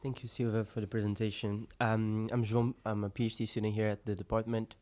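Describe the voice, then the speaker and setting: A man's voice: lowish voice, little pitch movement, fast, darkish tone. A young man, a doctoral student, asking a careful question after a lecture, searching for words. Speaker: PhD student. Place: lecture room.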